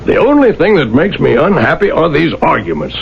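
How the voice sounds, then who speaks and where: An actor playing a gentle, devoted merchant husband, sorrowful and earnest, in a 1970s radio drama. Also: male voice, a little high, sing-song, brisk, creaky. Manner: earnest, emotional, pleading. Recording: dull, noisy old radio recording.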